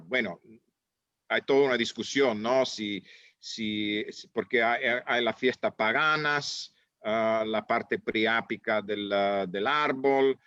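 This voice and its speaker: A man's voice: medium pitch, fairly bright tone, dry recording, dull audio. A man who grew up in Italy and France, moderating a casual panel discussion and rambling with many fillers and restarts.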